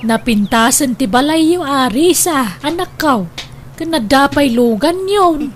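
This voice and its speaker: A woman acting a high-voiced Ilocano mother. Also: lively intonation, unhurried, faint music behind. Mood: tender, moved. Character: devout, humble older mother, protective and reproachful. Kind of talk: radio drama acting.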